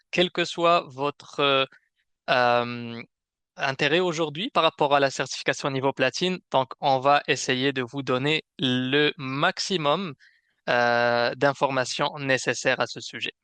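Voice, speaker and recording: A man hosting a webinar with a semi-scripted presentation, explaining in a measured way with some hesitation. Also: mid-range voice, pushed and bright, clean tone, dry recording. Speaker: webinar host and program manager.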